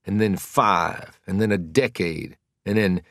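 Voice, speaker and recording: A man's voice: low voice, unhurried, a bit bright, no room sound, voice pushed up. A bitter, angry convict who was once a football star , acted out by a man. He talks bluntly in slang, full of frustration at being wronged, as dramatic audiobook dialogue. The sound is dry. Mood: wistful, bitter.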